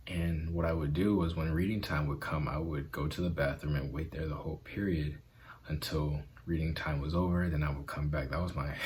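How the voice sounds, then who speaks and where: Man, very deep voice, stutters, earnest chatty vlog, faint hiss. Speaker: vlogger. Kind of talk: motivational vlog talk.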